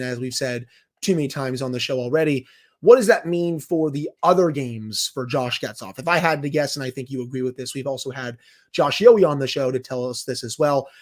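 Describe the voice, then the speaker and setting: Conversational man co-hosting a sports podcast. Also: mid-range voice. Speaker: podcast co-host.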